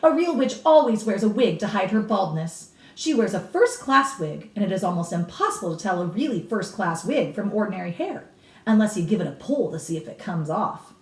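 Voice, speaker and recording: A woman's voice: medium pitch, big pitch swings, brisk, a little mellow, voice pushed up, close to an everyday voice. A woman voicing a blunt, authoritative elderly grandmother from a storybook, explaining with emphatic relish and a snappish edge. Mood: instructive, emphatic. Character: cigar-smoking old grandmother, authoritative, blunt, relishing grisly witch lore. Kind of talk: storybook read-aloud character. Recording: roomy echo, distant microphone.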